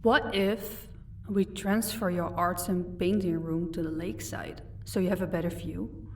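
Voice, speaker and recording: A woman's voice: on the low side, steady tone, a little slow, darkish tone, distant mic. Composed, commanding female vampire, played by a woman for audio roleplay; haughty, recorded a little off-mic with faint noise. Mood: calm, affectionate. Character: commanding female vampire, protective wife, cold to captives.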